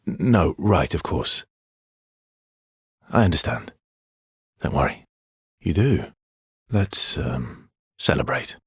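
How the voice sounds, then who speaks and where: A brooding but tender man in naturalistic game dialogue. His low voice is very husky and breathy, sitting close and dry, and he speaks in an understated, halting, intimate way with a gently teasing edge. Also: no echo, exaggerated voice. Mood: hesitant, tender. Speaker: video game voice actor.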